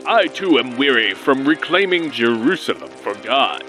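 An actor playing a zealous, pompous crusader knight, declaiming in stagey mock-archaic radio play style. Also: male voice, medium pitch, expressive, moderate pace, bright, forceful tone, faint music behind. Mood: zealous, grand. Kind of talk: parody 1940s radio play acting.

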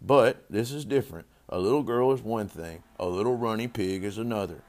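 A gentle farmer father from a storybook, read by a man. His voice sits on the low side. He reasons patiently, kindly but firm. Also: brisk, natural voice.

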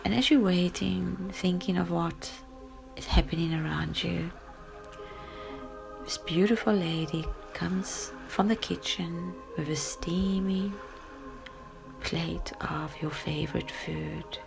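A woman narrating a guided sleep story in a gentle, soft and breathy voice, often close to a whisper, with long pauses. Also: fairly low pitch, fairly even intonation, low-quality recording. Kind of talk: soothing bedtime story, guided relaxation. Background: music.

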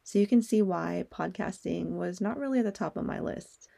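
A woman's voice: lowish voice, normal speed, vocal fry, warm tone. A young woman hosting a solo podcast, talking in a candid, personal way and swearing now and then. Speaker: podcast host.

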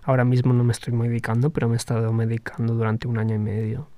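A man's voice: mid-range voice, completely flat delivery, fast talker, warm tone, dry recording. A man hosting a podcast, talking through a personal reflective monologue in a hesitant, thoughtful way with frequent restarts. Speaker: podcast host.

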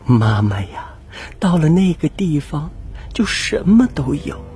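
A sorrowful mother wolf, acted by a woman in an audio drama. She soothes and coaxes tenderly, taking her time over each phrase, with wide swings in pitch. Faint music plays behind her. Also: very animated, exaggerated voice. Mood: gently coaxing. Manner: slow, gentle, coaxing speech with sighs.

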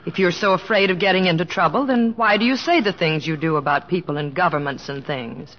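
Devoted, gently nagging wife played by an actress, old radio drama, dull recording. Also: female voice, lowish voice, fairly quick, lightly acted. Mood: earnest coaxing. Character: devoted wife of a comedian, earnest, gently nagging, narrating their life. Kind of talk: 1950s radio drama narration and acting. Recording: dull vintage radio recording, faint music.

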